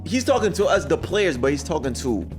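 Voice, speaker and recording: Young male streamer, excitable slangy reactions, lively mid-pitched voice, music playing behind. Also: fairly quick. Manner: excitable, slangy, repeats words. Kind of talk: casual reaction commentary.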